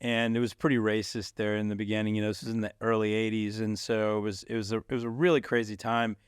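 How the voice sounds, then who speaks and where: A man's voice: on the low side, little pitch movement, quick pace, clear, resonant tone, dry recording, clean studio sound. Joking guest man in his forties. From India. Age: 40s. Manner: joking, swears, many "you know" fillers. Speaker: podcast guest. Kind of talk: casual interview chat.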